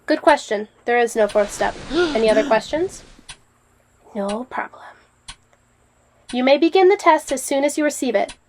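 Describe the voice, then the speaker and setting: A woman playing a stern, coldly brisk exam proctor in an audio drama, with a clipped, formal, commanding manner. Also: high voice, animated, slight background noise. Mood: brisk, imperious.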